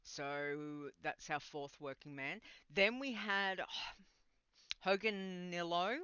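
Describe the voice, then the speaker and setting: A woman's voice: lowish voice, slow pace, sharp tone, clear, resonant tone, dry recording, dull audio. A woman hosting a podcast in casual, rambling chat, sounding a bit congested and nasal. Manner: rambling and chatty, with laughs. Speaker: podcast host.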